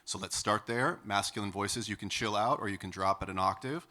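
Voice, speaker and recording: A man with a baritone speaking voice, teaching a singing lesson and counting students in with encouragement. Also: a little fast, sharp tone. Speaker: vocal coach. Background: piano.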